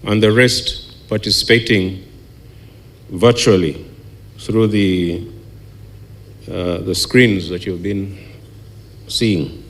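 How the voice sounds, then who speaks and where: Guy doing a formal speech, slow and halting, lowish voice. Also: fairly even intonation.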